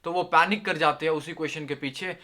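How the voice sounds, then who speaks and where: A man's voice: fairly high pitch, fairly even intonation, quick pace, bright, forceful tone. A young man giving casual spoken exam tips to his viewers in a friendly, chatty way, often dropping English phrases into his talk. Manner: friendly, addresses viewers as "yaar" and "doston", mixes English phrases.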